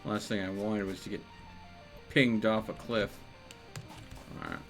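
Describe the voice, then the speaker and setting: A man's voice: fairly low pitch, steady tone, a little slow. A man giving casual live gameplay commentary, thinking aloud with playful exclamations. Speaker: video game streamer. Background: video game music.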